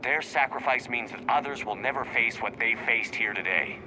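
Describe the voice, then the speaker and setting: A man playing a steady, commanding military officer in a video game, direct and authoritative. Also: mid-range voice, moderate pace, natural voice. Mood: urging, steady. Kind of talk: video game dialogue acting. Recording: noisy battlefield ambience behind voice.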